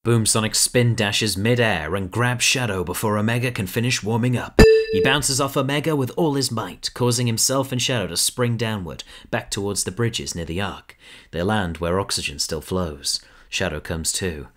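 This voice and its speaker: Deep-voiced man narrating a drama. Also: moderate pace, fairly bright tone. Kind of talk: scripted narration of a fan audio drama.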